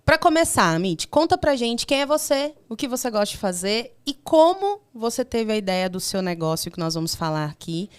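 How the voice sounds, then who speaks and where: A woman's voice: on the high side, big pitch swings, moderate pace, few pauses, clear, resonant tone. A woman hosting a podcast in an upbeat, playful way. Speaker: podcast host.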